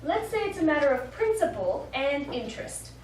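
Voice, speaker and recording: A woman on stage plays a shrewd, discontented wife, coy and sardonic. Her voice is high and very animated in pitch. The live theatre sound is distant and echoey, with faint noise. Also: moderate pace. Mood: coy, wry. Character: shrewd, teasing, discontented rich man's wife. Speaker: stage actor. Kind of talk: live stage comedy acting. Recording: echoey, noisy stage recording from afar.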